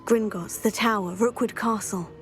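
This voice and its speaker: A woman's voice: mid-range voice, steady tone, moderate pace, faint music behind, natural voice. An actress playing a determined young witch student, composed and earnest in a video game cutscene. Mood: explanatory. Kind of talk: video game dramatic acting.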